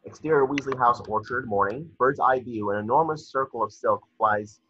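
A man with a husky voice reading screen directions as narrator at a table read over a video call. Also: medium pitch, moderate pace, pushed and bright, a little hiss or hum. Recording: muffled lo-fi video call audio.

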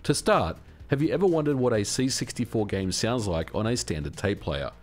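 Low-voiced man narrating with animated pitch. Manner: measured, instructional delivery. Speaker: video essay host. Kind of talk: scripted explanatory narration. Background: background music.

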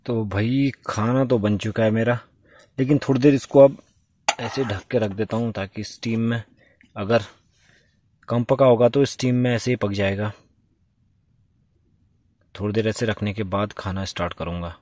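A low-pitched man talks informally to his viewers, narrating what he is doing as he camps alone. The mic sits at some distance, with faint noise around his voice. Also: steady tone, normal speed, pauses often, a little mellow. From India. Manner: informal, narrates own actions. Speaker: solo camping vlogger. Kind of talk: casual chat to viewers. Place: at a forest campsite.

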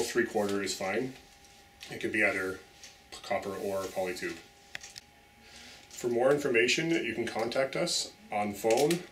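A man in his thirties from a plumbing company, standing in a small office and explaining water pipe options to the camera in a plain, steady way. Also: lowish voice, a little hiss or hum. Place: small office with framed certificates on walls. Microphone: camera some distance away. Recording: roomy office sound from a distant camera.

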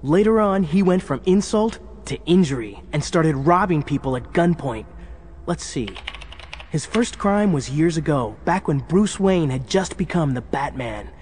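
An actor playing an eager young sidekick, narrating a children's audio drama in a dramatic scripted style. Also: male voice, on the high side, animated, unhurried, darkish tone, a little hiss or hum. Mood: earnest storytelling. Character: eager young male sidekick telling case history.

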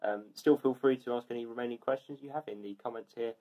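A man's voice: medium pitch, steady tone, fast talker, mellow, low-quality recording. A young man presenting to camera indoors and signing off to viewers. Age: young adult. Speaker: video presenter. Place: plain room, indoors.

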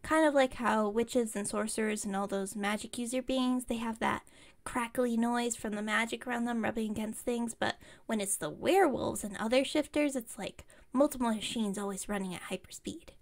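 A woman's voice: on the high side, steady tone, moderate pace, natural voice. A woman playing an enthusiastic, slightly incompetent witch shopkeeper in an audio roleplay, rambling on in a friendly, bubbly way. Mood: curious, rambling. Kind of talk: ASMR roleplay audio, one-sided chat with listener.

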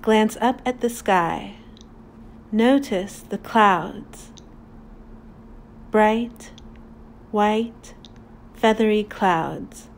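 Very slow-paced woman guiding a meditation. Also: medium pitch, gravelly, slight background noise. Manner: calm, deliberate, soothing instruction with long pauses. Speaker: meditation guide. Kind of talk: guided meditation narration.

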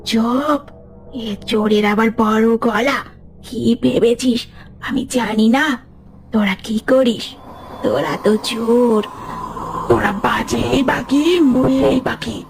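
A woman playing a sly, menacing old ghost crone in a cartoon horror story, with a cackling voice and a drawn-out, taunting manner. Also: on the high side, faint music behind. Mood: menacing, gloating.

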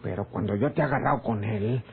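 An actor with a northern Mexican accent playing a sly, quarrelsome, rustic ranch hand in a radio drama, his voice husky. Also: lowish voice, fast talker, background noise, natural voice. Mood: scheming. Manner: rustic, colloquial. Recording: muffled old radio serial recording.

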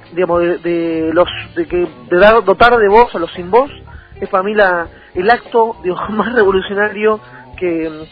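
High-voiced Argentine man on phone-like line. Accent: Argentine Rioplatense. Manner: halting, repetitive, circling back to rephrase. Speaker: interview guest, local political candidate. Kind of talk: conversational political interview. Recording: thin phone-like line, music behind.

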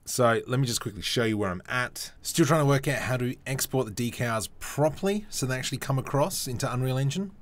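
A young man hosting a casual software tutorial, chatty and self-mocking as he thinks aloud while he works at a desk mic. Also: medium pitch, lively intonation, brisk, few pauses. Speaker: 3D modeling tutorial host.

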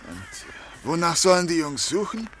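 An actor playing a loyal, slow-witted henchman in a German audio drama, husky and hesitant, eager to please. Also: fairly high pitch, very animated, a bit bright, a little hiss or hum. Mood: uneasy, questioning.

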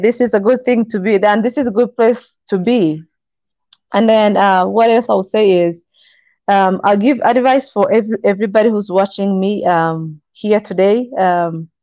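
A young woman from Congo speaks in earnest, halting, encouraging English. Her voice is mellow. The call audio is dry and a bit dull, recorded in a kitchen. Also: mid-range voice, no room sound. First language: not English. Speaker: guest. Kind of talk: video call interview. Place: kitchen indoors. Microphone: video call.

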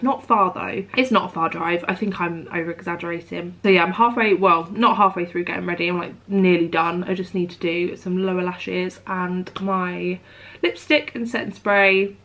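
A young woman chats to her camera at home, bright and upbeat. She has a cold, so her voice comes out nasal and croaky. She fills her talk with "like" and "um". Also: fairly low pitch, steady tone, moderate pace. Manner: chatty, upbeat, lots of "like" and "um". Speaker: vlogger. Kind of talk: casual chat to camera. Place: bedroom at home.

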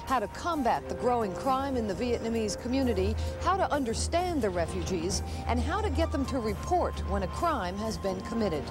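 A woman in her thirties, a reporter, narrating a documentary script and asking interview questions in a measured, clear way. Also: on the high side.

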